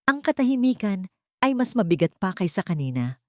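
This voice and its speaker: A woman's voice: medium pitch, very animated, fairly quick, dry recording, studio quality. A woman reading a scripted first-person romance story as its narrator.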